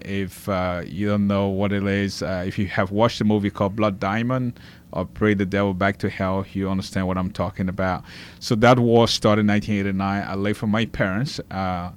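A man from Liberia in his forties, a guest in a studio, earnestly telling his life story with a strong faith focus. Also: fairly low pitch.

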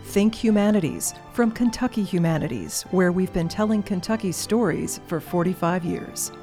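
A woman's voice: lowish voice, normal speed, dark tone, soft background music. A woman reading a polished, scripted show introduction as announcer.

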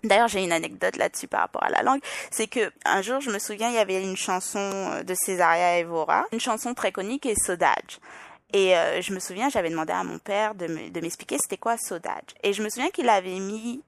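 A woman's voice: medium pitch, a little fast, vocal fry, dry recording. A young adult woman with a Parisian French accent, speaking thoughtfully as a podcast guest, with frequent restarts and hesitations. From Île-de-France, France. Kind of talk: reflective personal interview.

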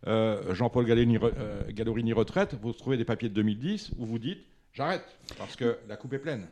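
A man hosting an informal live interview, chatty and halting, often interjecting. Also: medium pitch, a little mellow. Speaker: interviewer, show host.

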